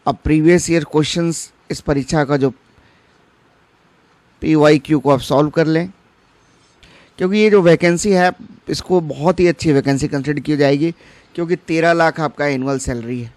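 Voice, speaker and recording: Higher-voiced man explaining, dry close sound. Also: darkish tone, no echo. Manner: mixes English terms into his speech; instructional, addresses viewers directly. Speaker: exam-preparation channel host. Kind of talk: informational explainer for exam candidates.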